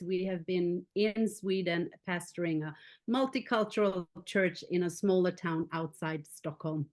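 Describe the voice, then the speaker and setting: Austrian-accented woman pastor telling her story. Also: lowish voice. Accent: Austrian German. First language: German. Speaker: pastor and guest. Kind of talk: casual faith podcast chat.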